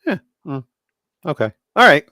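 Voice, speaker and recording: A man's voice: on the high side, very animated, normal speed, bright, forceful tone. A man hosting a casual podcast chat from New Jersey, joking and rambling with lots of fillers. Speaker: host.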